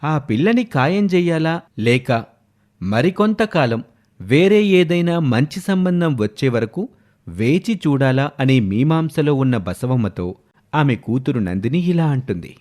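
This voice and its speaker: A man's voice: medium pitch, lively intonation, a little slow, a little mellow. A man narrating a scripted moral story as a storyteller.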